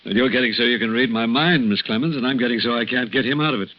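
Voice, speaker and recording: An actor playing a worried, earnest doctor in a 1940s radio drama. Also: male voice, mid-range voice, quick pace, close to an everyday voice. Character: worried, earnest male doctor puzzling over a patient. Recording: muffled vintage radio recording.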